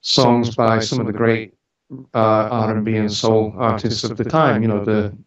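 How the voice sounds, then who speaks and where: A man's voice: on the low side, flat delivery, moderate pace. A man in his forties with a mixed Scottish British accent, telling stories casually in a chatty, informal, lightly joking way. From Scotland, grew up on the road around the UK. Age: 40s. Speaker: interview guest, musician and studio owner. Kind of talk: casual conversational storytelling. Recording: echoey room, distant mic, dull sound.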